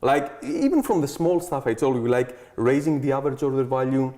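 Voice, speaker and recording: A man's voice: medium pitch, brisk, mellow. A man in his thirties with a Greek accent, a guest in an interview, talking eagerly and confidently in a loose, chatty way. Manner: eager, confident, keeps adding "okay" and "like". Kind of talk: casual interview talk.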